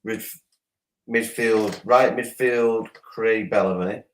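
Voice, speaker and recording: A man with a lowish voice joins a casual football chat. He talks in quick, emphatic bursts and repeats his words as he argues. The sound is roomy and echoey. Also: fairly even intonation. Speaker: podcast guest. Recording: echoey room.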